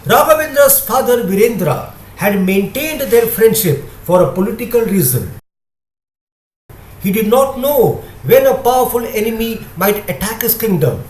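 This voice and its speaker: High-pitched, echoey male storyteller. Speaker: storyteller, narrator. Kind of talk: scripted moral story narration. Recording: echoey room with faint noise.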